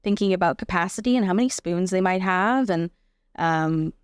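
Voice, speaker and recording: A woman speaking as an interview guest, giving a personal account in a reflective, measured and explanatory conversational way. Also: lowish voice, clean tone, no echo, clean studio sound. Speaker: podcast guest, actor and advocate.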